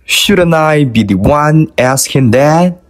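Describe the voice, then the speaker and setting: A man playing a shrewd, self-assured gentleman thief who investigates with knowing questions, in an amateur radio drama. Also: on the high side, animated, takes it slowly. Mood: shrewd, probing. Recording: faint music behind the voice.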